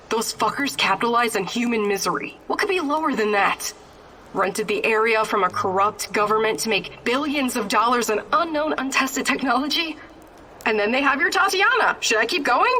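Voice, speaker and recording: Fiery, sharp-voiced young rebel. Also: female voice, a little high, animated, moderate pace, slight background noise. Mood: impatient, angry. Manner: blunt, combative. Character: fiery, impatient young female rebel fighter, bitter and defiant. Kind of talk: video game character acting.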